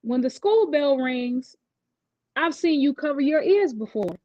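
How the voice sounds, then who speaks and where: A woman's voice: sits high, animated, a little slow, dry recording, lo-fi sound. A woman voicing a caring, confident schoolgirl best friend in a storybook, reassuring and a bit sassy. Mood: joking, curious.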